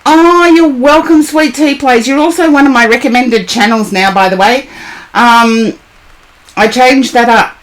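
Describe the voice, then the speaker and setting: A hoarse woman talks to her live-stream chat in a relaxed, unhurried way. Her voice sits a little high, and she greets viewers by name as she goes. The talk is casual and rambling, with lots of small asides. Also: poor audio. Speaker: live-stream tarot reader.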